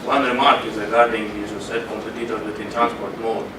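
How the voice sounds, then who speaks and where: A man's voice: fairly low pitch, flat delivery, brisk. Distant man asking from the floor. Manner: hesitant, searching for words. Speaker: audience member asking a question. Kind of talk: question from the floor at a panel. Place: conference hall. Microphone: room audio, away from the microphone. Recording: roomy and distant with background noise.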